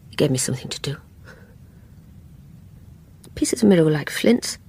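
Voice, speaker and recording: An actress playing a troubled, guilt-ridden woman narrating her dark secret in a psychological radio drama, intimate and halting, often dropping to a whisper. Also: low voice, big pitch swings, gravelly, husky, exaggerated voice. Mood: haunted, brooding. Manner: haunted, intimate, halting delivery.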